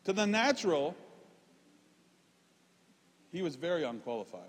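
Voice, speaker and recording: Guy preaching casually, pretty high voice, big echoey hall, punches key phrases then eases off. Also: very animated, unhurried, breathy edge. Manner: informal, self-deprecating humour. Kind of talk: conversational sermon to a congregation. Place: large reverberant room, preaching live. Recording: reverberant hall sound.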